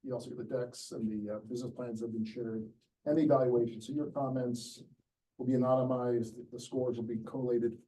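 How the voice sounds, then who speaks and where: A man hosting a live pitch session in a crowded room, chatty and rambling with frequent restarts. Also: little pitch movement, brisk, mellow, poor audio. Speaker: event host.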